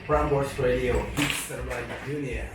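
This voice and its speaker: A man from West Sumatra with a Minang accent, a guest chatting casually and telling his career story in lively slang. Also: medium pitch, measured pace, background noise. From West Sumatra, Indonesia (Padang). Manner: animated storytelling in slangy speech. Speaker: guest, actor and radio host. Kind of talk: casual chat. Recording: echoey room, far from the mic, with music.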